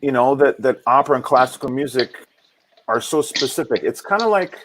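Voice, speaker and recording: A man from Hawaii, an opera singer, talking reflectively in an interview with many halting restarts and repeated words. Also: medium pitch, animated, a bit bright, poor audio. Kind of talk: reflective casual interview talk.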